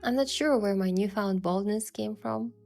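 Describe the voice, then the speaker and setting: A woman playing a sincere, easily flustered young heroine, narrating and speaking in a romance story with soft expression. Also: mid-range voice, normal speed, gravelly, natural voice. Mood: tender narration. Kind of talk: first-person narration and dialogue in a romance story. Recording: music behind the voice.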